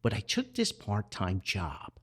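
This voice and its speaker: A man's voice: on the low side, wildly swooping pitch, measured pace, fairly bright tone, husky. An older man hosting a podcast, reflecting in a rambling, earnest monologue, often repeating words and backtracking as he goes. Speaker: podcast host and author.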